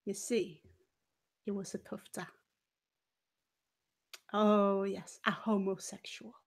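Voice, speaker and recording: A woman tells a dark tale in a slow, theatrical delivery, lingering on her pauses. She plays a haughty, venomous, money-loving former cabaret dancer. Her mid-pitched voice swells dramatically as she narrates in the third person. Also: flat delivery. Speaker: player in a murder mystery role-playing game.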